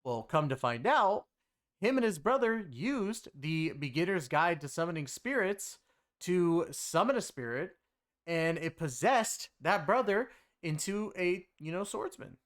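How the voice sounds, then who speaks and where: A man's voice: fairly high pitch, sing-song, a little slow, a bit bright. A man giving a casual, rambling recap of a video game story, with an emphatic, conversational delivery. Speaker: game streamer and story reviewer.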